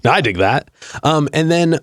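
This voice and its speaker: A man's voice: on the high side, animated, moderate pace, dry recording, studio quality. A man hosting a casual music podcast, upbeat and chummy, with slangy reactions. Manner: upbeat, chummy, slangy ("rad", "hell yeah"). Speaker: podcast host.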